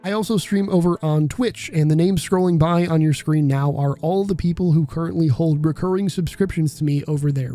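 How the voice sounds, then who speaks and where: A man's voice: a little high, mellow. A man in his twenties giving an earnest, reflective scripted voice-over narration for a video essay. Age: 20s. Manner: earnest and contemplative.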